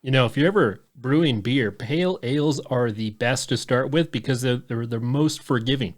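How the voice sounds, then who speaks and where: A man in his thirties chatting on a podcast at a middle pitch. He rambles loosely, circles back on himself and drops curse words without a second thought. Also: moderate pace. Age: 30s. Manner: loose and rambling, swears freely. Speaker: podcast host. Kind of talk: casual podcast chat.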